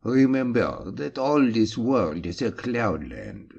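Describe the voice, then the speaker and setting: A man playing an impatient, sardonic detective in an audiobook drama, with a husky, creaky voice. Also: mid-range voice, big pitch swings, slow pace, a little mellow, highs cut off, heavily acted. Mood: sneering. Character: brisk, impatient male detective, sharp-witted, sardonic.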